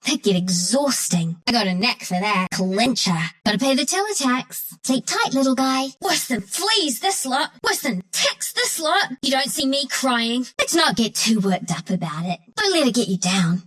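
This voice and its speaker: Mischievous small furry game critter, highish bouncy voice, cocky slangy sharpshooter. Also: female voice, lively intonation, moderate pace, few pauses, fairly bright tone. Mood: cheeky gloating. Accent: Australian. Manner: cheeky, breezy, slangy. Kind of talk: video game character voice lines.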